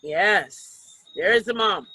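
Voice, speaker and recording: A woman reads a picture book aloud to children in a deliberate way, pausing to point things out and ask questions. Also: medium pitch, animated, sharp tone, breathy edge, dry recording, low-quality recording. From Jamaica. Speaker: storytime reader, teacher.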